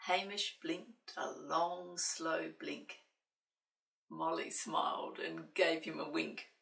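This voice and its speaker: A woman reading a children's picture book aloud in an expressive way, with playful, dramatic asides to young listeners. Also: deep voice, slow pace, gravelly. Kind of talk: expressive children's storybook narration. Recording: roomy, slightly distant microphone.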